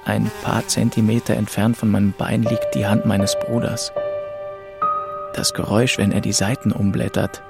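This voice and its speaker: A young adult narrator in a radio drama, wistful and introspective. His voice sits low with a gravelly creak, and music plays clearly behind him. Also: level tone, normal speed. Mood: wistful, brooding. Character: melancholy young French man, orphaned, narrating his road trip. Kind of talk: first-person radio play narration and dialogue.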